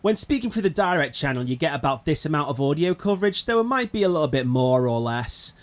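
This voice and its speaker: Higher-voiced man, informal explainer. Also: sing-song, fast talker. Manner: conversational, addresses viewers directly. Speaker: video presenter of a gaming concept. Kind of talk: informal explainer narration of a game item idea.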